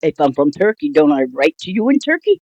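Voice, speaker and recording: An older woman telling a personal story casually, in a rambling, halting, wryly amused way. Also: low-pitched, sing-song, darkish tone, no echo, dull audio. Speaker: storytelling vlogger.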